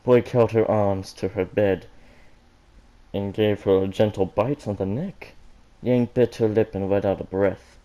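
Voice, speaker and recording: A man reading fan fiction aloud as an amateur, halting and stumbling over words, with joking asides. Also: fairly low pitch, fairly even intonation, warm tone, lo-fi sound. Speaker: reader of fan fiction for an online audience.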